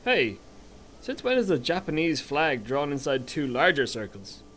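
An actor playing a blithely reckless, scheming Irish ship's captain in a comedy audio drama. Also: male voice, a little high, very animated, normal speed, a bit bright, slight background noise. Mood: bemused.

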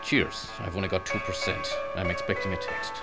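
An actor playing a grumpy, incredulous Irish ship's chief in a comedy audio drama. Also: male voice, low voice, steady tone, brisk, loud music behind the voice. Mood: dry.

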